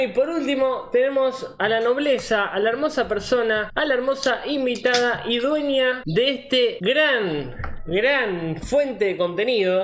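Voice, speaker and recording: A man's voice: sits high, fairly bright tone, a little hiss or hum. A man in his twenties with an Argentine accent, playfully hosting casual live-stream banter with mock formality. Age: 20s. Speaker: streamer hosting a group call. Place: bedroom at home.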